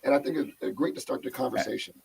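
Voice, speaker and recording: A man's voice: medium pitch, rapid-fire, gravelly, a little mellow, breathy edge. A man hosting a show over a video call, upbeat and rambling, laughing often as he introduces his guest. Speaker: podcast host. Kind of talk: casual chat.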